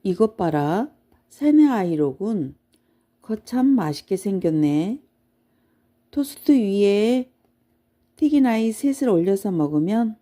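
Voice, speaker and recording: A woman plays an ancient toothless giant from a children's storybook, grumpy and hungry. The delivery is gruff and emphatic, in a mellow timbre lifted above her plain narration. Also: medium pitch, very animated, slow, pauses often. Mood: greedy, gloating.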